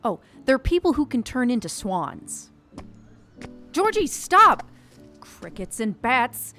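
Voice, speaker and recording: A woman's voice: on the high side, big pitch swings, takes it slowly, sharp tone, faint music behind, full character voice. A woman playing a fretful, kindly, inept witch in an audio drama, fussing and coaxing protectively. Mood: worried, coaxing. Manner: fussy, exclamatory, coaxing.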